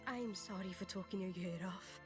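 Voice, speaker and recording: A woman's voice: mid-range voice, moderate pace, close to an everyday voice. An actress voicing a heartfelt, stubborn young woman adventurer in a video game drama. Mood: sincere. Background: music.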